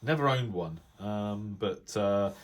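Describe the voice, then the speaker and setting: A middle-aged British man with a fairly low voice gives an unscripted hobbyist commentary. He speaks casually, often pausing and restarting as he thinks aloud. The delivery rambles gently from point to point. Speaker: radio hobbyist video presenter. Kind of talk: casual unscripted commentary while browsing a reference book.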